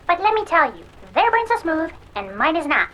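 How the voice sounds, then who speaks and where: A woman's voice: very high-pitched, very animated, fairly quick, fairly bright tone, full character voice, rough recording. A woman playing a cocky, sardonic hacker who hosts a pirate radio show in a cyberpunk audio drama, talking theatrically to her audience.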